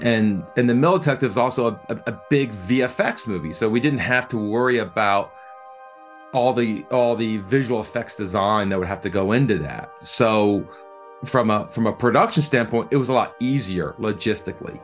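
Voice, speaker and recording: A man answering interview questions as a producer, speaking thoughtfully and conversationally, often halting and repeating words. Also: on the low side.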